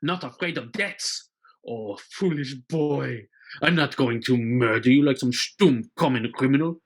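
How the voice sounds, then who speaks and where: A man's voice: mid-range voice, measured pace. Man playing pompous, menacing melodrama villain. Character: theatrical male villain, menacing, gloating and pompous.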